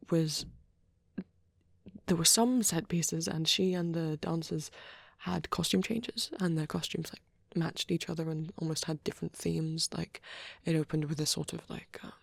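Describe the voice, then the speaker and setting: Woman as shy vampire boy, ASMR roleplay, soft near-whisper, creaky low register, very dry close sound, flustered halting rambling. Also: no echo, exaggerated voice. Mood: flustered, bashful. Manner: hesitant, flustered, halting, with many stammers and trailing restarts. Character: shy young male vampire boyfriend, bashful and rambling.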